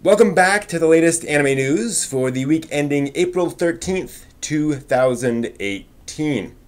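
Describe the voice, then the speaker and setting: A man presenting news as a live stream host in an informal way, with somewhat halting delivery. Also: on the high side, expressive.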